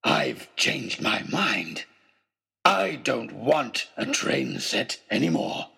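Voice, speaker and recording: A man voicing a monstrous, vengeful madman with a rough bellowing growl and a slow, menacing delivery in an audiobook. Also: mid-range voice, sing-song, bright, forceful tone, exaggerated voice. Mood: menacing rage. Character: monstrous roaring madman villain, wild, vengeful, ghastly cheerful. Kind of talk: audiobook character voice.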